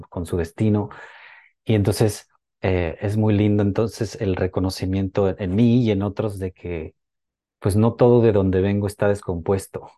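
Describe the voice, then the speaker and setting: A man talking gently about healing, hesitating often and ending many phrases with tag questions. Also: low voice, no echo, clean studio sound. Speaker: workshop speaker. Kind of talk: talk on ancestral healing.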